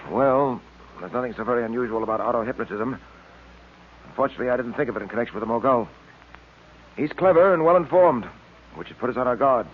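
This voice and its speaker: An actor playing a calm, shrewd police detective in an old radio drama, with a businesslike, authoritative manner. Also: male voice, mid-range voice, lightly acted. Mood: calm, assured. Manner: businesslike, measured wording. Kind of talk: 1940s radio drama acting. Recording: tinny old radio recording.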